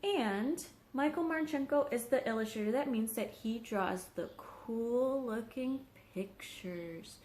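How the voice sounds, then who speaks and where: A woman's voice: a little high, takes it slowly, few pauses, darkish tone. A woman teacher reading a story aloud to young children, explaining things simply and checking in with questions. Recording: roomy, echoing home recording.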